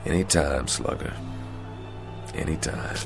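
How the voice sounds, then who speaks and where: An actor playing a weary, washed-up American boxer, gentle but proud and disillusioned, in a radio drama. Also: male voice, rumbling low, slow pace, vocal fry, loud music behind the voice, heavily acted. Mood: gently reassuring.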